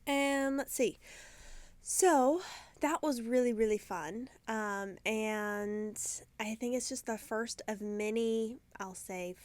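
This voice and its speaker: A woman who owns a yarn shop hosts her video podcast, talking alone to the camera from the shop. She sounds croaky and congested from sinus trouble, and she rambles in a chatty, self-deprecating way. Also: fairly high pitch, no echo. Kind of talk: casual video podcast chat. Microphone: camera mic, talking alone to camera.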